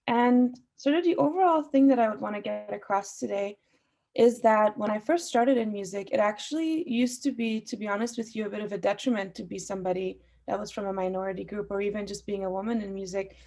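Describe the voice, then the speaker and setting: A woman's voice: mid-range voice, a little fast, vocal fry, darkish tone. A young woman, a musician who grew up speaking Farsi, sharing her views on a panel in a thoughtful way with many fillers. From Austria. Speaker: guest artist. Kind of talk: panel discussion.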